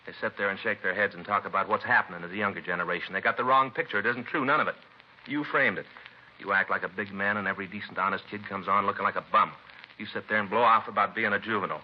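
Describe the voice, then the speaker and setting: An actor playing a stern, indignant, world-weary police detective in a clipped hard-boiled style of 1950s radio drama. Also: male voice, lowish voice, quick pace, close to an everyday voice. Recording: dull, noisy vintage radio recording.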